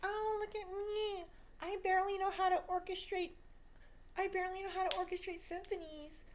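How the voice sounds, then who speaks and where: A woman's voice: extremely high pitch, level tone, moderate pace, slight background noise, pitched above the performer's own voice. A woman mockingly voicing a whiny, apologetic, self-important young composer who stammers and pleads for praise in a video diary. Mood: mock pleading.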